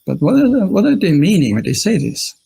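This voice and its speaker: A man's voice: sits high, sing-song, a little fast, creaky, warm tone, husky. A man with an Austrian accent giving an informal Buddhist talk as a monk, conversational and often turning to his listeners with questions. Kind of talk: informal dhamma talk to an online audience.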